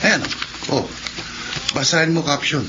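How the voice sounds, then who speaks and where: A man's voice: fairly high pitch, a little slow, lightly acted. An actor playing a gossipy, alarmed male friend with an urgent, insistent delivery in a radio drama. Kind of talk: Filipino radio drama acting. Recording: dull lo-fi radio, noisy background.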